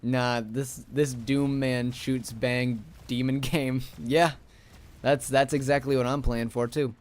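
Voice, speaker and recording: A young man streaming a game, sleepy and a little raspy, rambling casually to chat as he plays. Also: medium pitch, steady tone, slow pace. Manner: rambling, talks to chat while playing.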